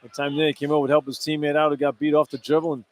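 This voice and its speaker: High-voiced male basketball analyst. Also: flat delivery, racing, slight background noise. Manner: informal, explanatory, short emphatic remarks. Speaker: color analyst. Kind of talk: live basketball radio analysis. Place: courtside in an indoor arena.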